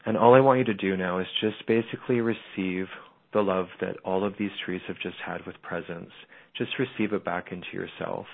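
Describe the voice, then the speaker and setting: A man leading a slow, soothing guided meditation as a spiritual guide on a call-in show. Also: low-pitched, gravelly. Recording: phone-line audio.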